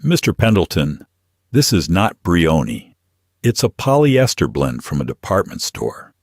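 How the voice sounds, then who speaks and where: A man voicing a composed, firm female shop owner in an audiobook, speaking calmly and precisely. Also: low-pitched, unhurried, dry recording, studio quality, heavily acted. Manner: calm, precise, unyielding.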